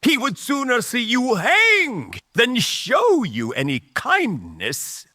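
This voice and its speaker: A man playing a fatherly but menacing rebel mage leader in a dramatic audio drama, speaking slowly and emphatically. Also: very high-pitched, wildly swooping pitch, sharp tone, no echo, studio quality, pitched above the performer's own voice, exaggerated voice. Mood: bitter, intense. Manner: slow, deliberate, emphatic delivery.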